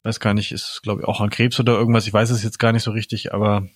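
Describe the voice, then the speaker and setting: Low-voiced man, slangy and dry-sounding. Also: level tone, quick pace, no room sound. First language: not German. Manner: enthusiastic, slangy reactions. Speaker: podcast host. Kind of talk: casual chat.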